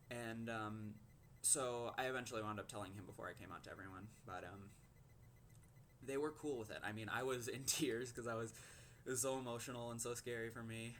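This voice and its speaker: A fifteen-year-old boy from Minnesota with a low voice, telling a personal story to camera and hesitating often with ums and likes. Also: fairly bright tone, a little hiss or hum. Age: teens. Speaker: teen vlogger.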